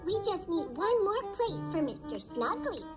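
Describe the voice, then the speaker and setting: A woman's voice: very high voice, very animated, measured pace, loud music behind the voice, voice pushed up, heavily acted. A woman voicing an innocent, eager little child in a children's story, earnest and wide-eyed.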